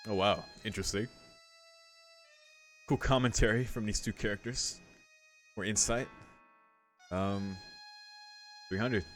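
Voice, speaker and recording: A man's voice: on the low side, steady tone, measured pace, pauses often, fairly bright tone, soft background music. A man giving casual, laid-back commentary as he plays through a game, murmuring short reactions. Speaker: video game streamer.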